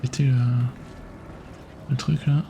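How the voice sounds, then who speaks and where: A man's voice: fairly high pitch, flat delivery, slow, vocal fry, background noise. A man streaming a video game live, muttering to himself and swearing often as he plays. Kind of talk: live gameplay commentary.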